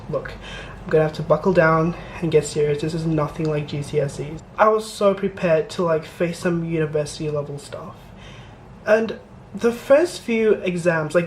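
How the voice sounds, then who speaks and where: A man's voice: fairly high pitch, a little hiss or hum. A young man from Malaysia chatting casually to the camera as a student vlogger, rambling a little with self-deprecating asides. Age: young adult.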